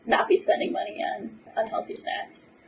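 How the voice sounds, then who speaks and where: A woman's voice: moderate pace, recorded from a distance, a little hiss or hum, rough recording. A woman hosting a college money podcast, reading a brief introduction and then asking friendly, conversational questions. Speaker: podcast host. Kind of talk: podcast interview.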